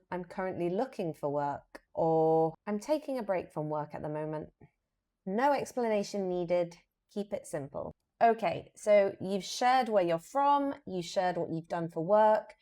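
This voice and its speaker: A woman with a British accent presenting an English lesson as a teacher, explaining deliberately and modelling example phrases with emphasis. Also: mid-range voice, dark tone.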